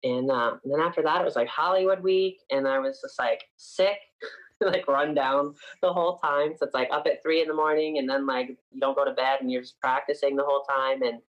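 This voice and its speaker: A man's voice: mid-range voice, fairly even intonation, a little fast, fairly bright tone, highs cut off. Chatty young man from upstate New York. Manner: chatty, frequent "like" fillers. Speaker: singer guest. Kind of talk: casual interview chat.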